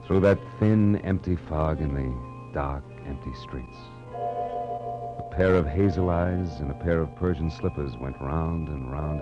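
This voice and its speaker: Deep-voiced, world-weary 1940s radio detective. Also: male voice, heavily acted. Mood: brooding. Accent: American. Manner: wry, clipped, brooding. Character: world-weary, hard-boiled male private detective. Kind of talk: 1940s radio noir acting and narration. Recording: dull 1940s radio recording with music.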